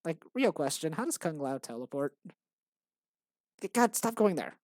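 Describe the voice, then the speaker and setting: A man making a game who rambles in casual commentary while he works, in a tired, monotonous, deadpan voice. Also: moderate pace, dry recording, clean studio sound. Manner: tired, low-energy. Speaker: game developer vlogger.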